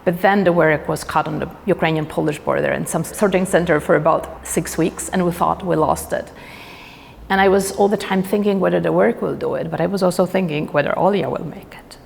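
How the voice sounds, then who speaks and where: A woman's voice: lowish voice, fairly quick, vocal fry, a little hiss or hum. A woman speaking as an exhibition curator in a documentary interview, recorded in a reverberant room. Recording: reverberant hall, faint music behind.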